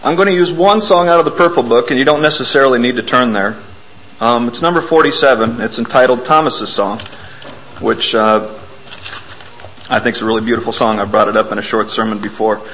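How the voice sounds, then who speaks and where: A man preaching an informal, self-deprecating sermon in a church, hoarse from a bug and often clearing his throat. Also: medium pitch, brisk, a bit bright, rough recording. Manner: folksy, self-deprecating, explains hymn words. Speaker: lay preacher.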